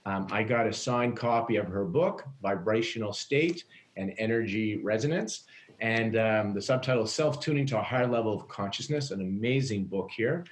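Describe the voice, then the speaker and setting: A man hosting an interview, friendly and a little halting, with many fillers. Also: fairly low pitch. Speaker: podcast host.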